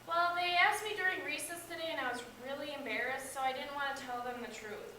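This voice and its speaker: A woman's voice: high voice, brisk, bright, forceful tone. A young American woman playing a shy, embarrassed schoolboy in amateur reader's theater, reading scripted lines carefully. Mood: embarrassed, hesitant. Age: young adult. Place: echoey indoor room. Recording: echoey room, distant microphone, background hiss.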